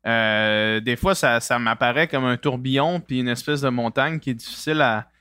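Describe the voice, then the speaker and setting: A man's voice: mid-range voice, moderate pace, sharp tone, smooth, no echo, clean studio sound. A man with a Québécois accent hosting a podcast, asking questions in a hesitant way, with lots of fillers and restarts. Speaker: podcast host. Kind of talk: casual interview.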